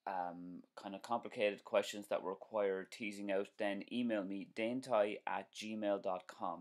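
A man in his forties with an Irish accent, hosting a live stream as a driving instructor, chatting casually and answering viewer comments with plenty of ums and restarts. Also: on the low side. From Ireland. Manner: chatty, friendly, repeats himself, many um and uh fillers. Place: small indoor room at home.

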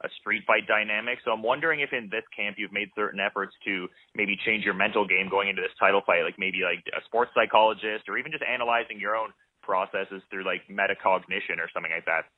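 A male host speaks quickly in a lowish voice, stringing long, winding questions together. The sound is dry and thin, like a phone line. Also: dry recording. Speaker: interviewer, host. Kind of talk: casual interview questions. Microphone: studio mic with headphones.

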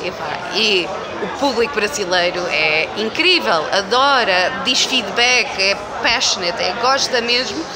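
A woman's voice: medium pitch, animated, measured pace, a crowd in the background. A woman in her twenties with a European Portuguese accent, giving enthusiastic, emphatic answers in a casual interview. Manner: enthusiastic, effusive, emphatic repetition. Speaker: game publisher community manager, interviewee. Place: indoor festival venue, by a booth wall. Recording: loud busy event-floor noise.

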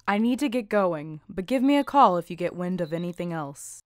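A woman's voice: lowish voice, lively intonation, a little fast, no room sound, natural voice. A woman voicing a businesslike female police officer in an anime-style game dub, matter-of-fact.

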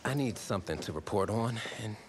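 A man playing an eager, pushy high school newspaper reporter in a video game cutscene, chatty and theatrical, with an American accent. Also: lively intonation, brisk, slight background noise, close to an everyday voice.

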